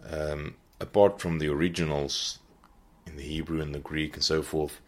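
A man with a very low voice hosts a Bible teaching podcast. He talks off the cuff in an earnest way, often pausing to find the next word. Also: little pitch movement. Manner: earnest, searching for words as he goes. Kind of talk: unscripted teaching talk.

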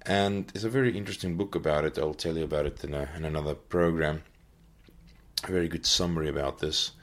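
A man hosting a podcast, giving an unscripted Bible teaching talk and often pausing to search for his words. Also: very deep voice, fairly even intonation. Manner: earnest, searching for words as he goes.

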